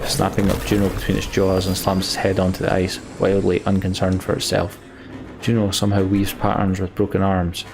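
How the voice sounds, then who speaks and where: A man with a gravelly voice reading a game's story text theatrically as a let's play streamer. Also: sits low. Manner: theatrical, acts out the characters' lines. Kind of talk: dramatic reading of game story text, with asides. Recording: game music behind the voice. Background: game music.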